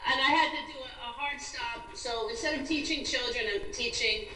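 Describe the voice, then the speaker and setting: Female activist guest, American, bright forceful voice, emphatic delivery, heard from off the mic on an echoey internet call. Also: sits high, normal speed, soft background music. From New York State, USA. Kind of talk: earnest advocacy interview. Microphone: video call. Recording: roomy, distant, noisy internet video call.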